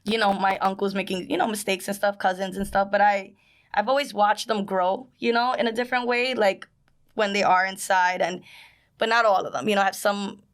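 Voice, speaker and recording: Animated Brooklyn woman telling stories. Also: medium pitch, brisk. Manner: animated storyteller, frequent "you know" and laughs. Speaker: guest, social worker. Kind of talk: casual podcast chat.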